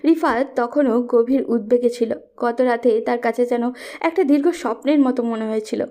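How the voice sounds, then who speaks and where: A woman narrates a scripted love story. Her voice is fairly high in pitch yet soft-edged and mellow, with little brightness.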